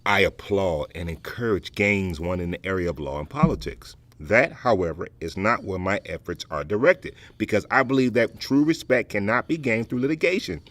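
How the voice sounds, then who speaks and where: Actor as proud, animated veteran educator. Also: male voice, on the low side, normal speed, hardly any pauses. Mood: earnest, firm. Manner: formal, emphatic, rhetorical. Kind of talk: dramatic audio play dialogue, formal debate.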